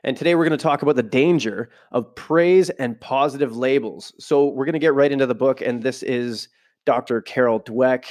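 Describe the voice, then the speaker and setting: A man's voice: medium pitch, a little mellow. A man hosting a podcast with a stuffy voice from a cold, reading from a book at home and breaking off into casual side remarks. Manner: reads aloud, then breaks off into loose asides. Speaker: podcast host. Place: home room, not a studio.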